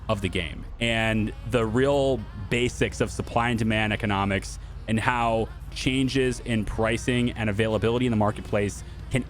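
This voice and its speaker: A man hosting a gaming video, talking casually and off the cuff to viewers in a chatty, rambling way. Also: medium pitch. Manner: chatty, rambling, thinking aloud, addressing viewers and developers directly.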